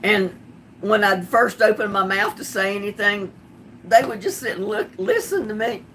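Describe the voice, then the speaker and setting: An older woman with a Southern drawl mixed with a bit of Texas chats away on her gardening livestream. She tells easygoing stories and laughs often, in a voice of ordinary pitch. A faint hum of noise sits behind her. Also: normal speed, fairly bright tone, rough recording. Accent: Southern US with some Texas. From North Carolina, USA. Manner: chatty storytelling, laughs often. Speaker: gardening channel host. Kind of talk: casual livestream chat.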